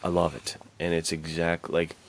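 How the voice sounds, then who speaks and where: A man's voice: low voice, completely flat delivery, normal speed, a little hiss or hum. A man co-hosting a podcast in casual, enthusiastic chat, retelling things with slangy emphasis. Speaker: podcast co-host.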